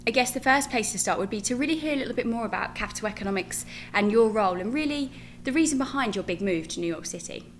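A British woman hosts an interview podcast, asking long, loose questions full of "you know." Her voice sits at an ordinary pitch for a woman. A faint hum runs underneath. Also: animated, quick pace. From Britain. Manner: loose, rambling questions with fillers. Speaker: podcast host.

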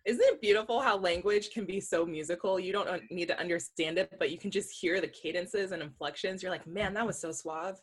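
Podcast co-host, mid-pitched, playful, laughs a lot. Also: husky. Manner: laughs often, quick playful reactions. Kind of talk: casual movie review chat.

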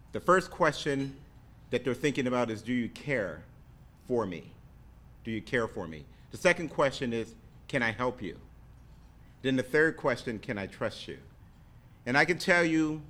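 A man giving an earnest speech to introduce a guest in a hotel banquet room, halting often and restarting phrases. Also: mid-range voice, bright, forceful tone. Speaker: introducer, county staff member.

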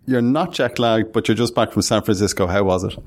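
A man's voice: on the low side, fairly quick. Man hosting a podcast. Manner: promotional, list-reading, conversational. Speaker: podcast host. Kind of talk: podcast intro and interview.

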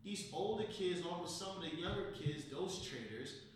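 An American man hosting a casual podcast, chatting and telling stories in slangy, informal talk, his voice slightly hoarse from recovering after losing it. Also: high voice, animated, normal speed. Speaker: podcast host. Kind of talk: casual podcast chat and storytelling. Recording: echoey room, distant mic, faint noise.

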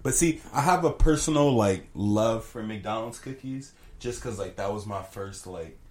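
A man's voice: fairly low pitch, normal speed, darkish tone. A young man chatting casually on a podcast, easygoing and agreeable, sharing fond personal memories. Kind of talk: casual chat. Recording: echoey room.

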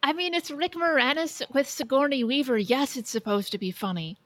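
A woman's voice: high-pitched, brisk, a bit bright, no room sound. A woman co-hosting a podcast, debating films casually in a blunt, argumentative, sweary way. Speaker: podcast co-host.

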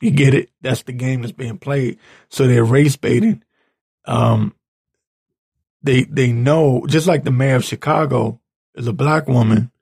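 A man with a raspy, hoarse voice giving an informal opinion rant as a commentator, halting, blunt and exasperated. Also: medium pitch, steady tone, a little mellow. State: hoarse, clearing his throat. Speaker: political commentator reading tweets and articles.

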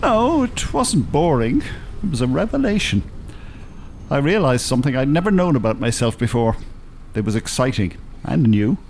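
Wordy older Dublin gent, swooping delivery. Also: male voice, mid-range voice, very animated, normal speed, gravelly, a little mellow, a little hiss or hum. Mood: indignant, pontificating. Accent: Dublin Irish. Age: 70s. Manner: wordy, theatrical, pompous. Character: eloquent, cantankerous, world-weary older Dublin gentleman. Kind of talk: radio drama acting.

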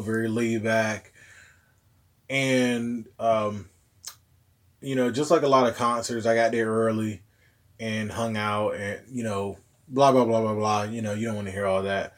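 A man with a hoarse, recovering voice hosting a casual solo podcast, rambling along in a laid-back way. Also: medium pitch. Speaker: podcast host.

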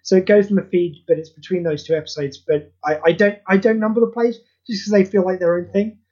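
A man's voice: sits high, fairly even intonation, quick pace, dark tone, muffled recording. A man hosting a podcast, chatting casually and self-deprecatingly, rambling with many restarts. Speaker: podcast host and audio play creator.

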